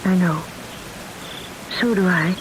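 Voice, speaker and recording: A woman's voice: fairly low pitch, takes it slowly. An actress playing a lovestruck young woman in a radio drama, tender and conspiratorial. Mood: tender, secretive. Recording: hissy old radio drama broadcast.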